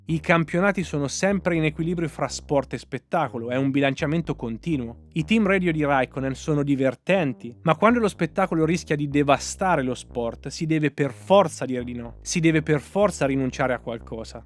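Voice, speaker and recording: A man delivering scripted motorsport opinion commentary in an emphatic, sarcastic manner with rhetorical questions. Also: fairly high pitch. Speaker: motorsport commentator, channel host.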